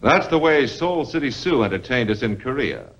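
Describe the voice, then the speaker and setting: A man narrating a 1950s military propaganda film with dramatic, deliberate phrasing. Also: mid-range voice, lively intonation, a bit bright, dull audio.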